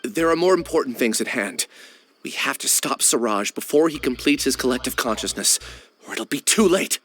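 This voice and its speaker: A man's voice: medium pitch, expressive, normal speed, a bit bright, slightly rough. An actor playing an earnest, apologetic young man in a video game.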